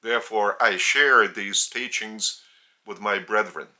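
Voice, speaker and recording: A man with a Lithuanian accent, a native Lithuanian speaker, giving a spiritual teaching in a deliberate, halting way with emphasis on key words. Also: lowish voice, sharp tone. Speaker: spiritual teacher and religious leader. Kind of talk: spiritual sermon addressed to camera. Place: indoors.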